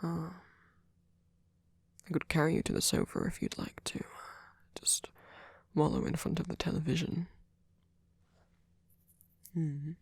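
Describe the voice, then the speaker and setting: A performer voicing a sweet, doting male vampire boyfriend in a breathy, hesitant ASMR whisper. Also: medium pitch, wildly swooping pitch, dry recording, studio quality, full character voice. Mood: tender, reassuring. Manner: hesitant, halting, rambling, with soft filler murmurs. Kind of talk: ASMR roleplay, soft whispered comforting.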